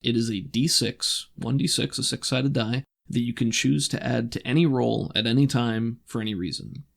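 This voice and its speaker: Gravelly man narrating as game master. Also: medium pitch, moderate pace. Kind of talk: narrating a tabletop roleplaying game. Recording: voice over background music.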